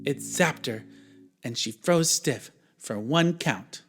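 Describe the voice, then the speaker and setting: A man's voice: mid-range voice, lively intonation, slow pace, fairly bright tone. A man who teaches music, narrating a story for young children.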